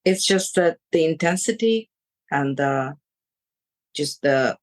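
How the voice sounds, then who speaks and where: A woman with a Ukrainian accent, a coach speaking in an interview, choosing her words slowly with many pauses. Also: low voice, animated. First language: Ukrainian or Russian. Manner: slow and searching, pausing between words. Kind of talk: reflective interview answers.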